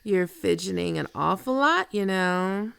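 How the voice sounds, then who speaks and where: Woman acting a very creaky, teasing flirt. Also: mid-range voice, expressive, a bit bright, no echo, full character voice. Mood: flirtatious teasing. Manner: casual, teasing, unhurried. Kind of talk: intimate ASMR roleplay acting.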